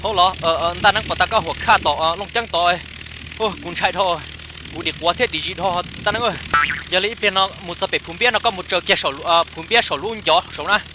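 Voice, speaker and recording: A man's voice: sits high, quick pace, noisy background. A man performing casual, chatty comic dialogue for a cartoon.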